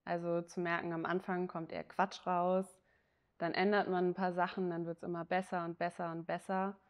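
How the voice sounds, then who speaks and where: Woman, 30s, low gravelly voice, chatty interviewee. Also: flat delivery, normal speed. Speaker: interviewee photographer. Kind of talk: casual interview talk.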